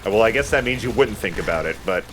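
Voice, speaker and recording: Low-voiced man chatting over noisy gameplay. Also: expressive, fast talker. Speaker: let's play host playing the game. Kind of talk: casual gaming commentary.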